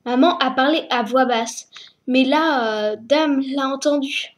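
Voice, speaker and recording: Casting: woman storyteller, high voice, slow hesitant reading at home.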